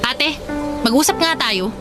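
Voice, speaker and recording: A woman's voice: high-pitched, lively intonation, unhurried, over music. A woman playing a worried, indignant younger sister in a radio drama, confronting and pleading in an earnest, accusing way. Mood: stern, confrontational.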